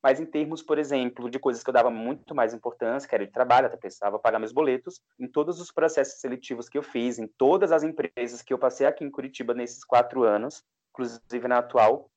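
A man speaks reflectively at a mid pitch. He often restarts mid-sentence, thinking aloud in a casual interview. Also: a little mellow, dull audio. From Pernambuco, Brazil. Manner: reflective, with many restarts and fillers. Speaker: guest.

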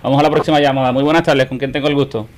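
Fast-talking male radio call-in host. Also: mid-range voice, level tone, slight background noise. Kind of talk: live radio talk, taking listener calls.

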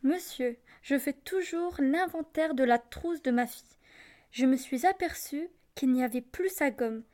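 Young woman playing a haughty fussy mum, formal letter read aloud, high voice. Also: unhurried, darkish tone. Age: teenager or young adult student. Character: fussy, formal, haughty mother writing to teacher. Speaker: amateur student actor. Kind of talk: theatrical reading of parents' letters.

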